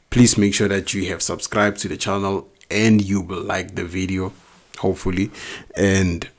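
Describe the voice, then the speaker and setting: A man's voice: low voice, breathy edge. A man with a non-native English accent, teaching a recorded math lesson step by step, asking questions and answering them himself. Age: adult, not young.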